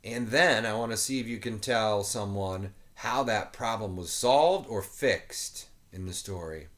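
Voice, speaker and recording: A man's voice: fairly low pitch, a little slow, fairly bright tone. A man reading a children's picture book aloud expressively, with playful asides to young listeners. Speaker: children's story reader, teacher.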